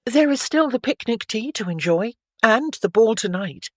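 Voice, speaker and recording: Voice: mid-range voice, animated, moderate pace, no room sound, voice pushed up, lightly acted. A narrator voicing an eager, chatty, polite teenage girl of the gentry in an audiobook. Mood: bright, polite. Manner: earnest, polite, chirpy. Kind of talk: audiobook character dialogue.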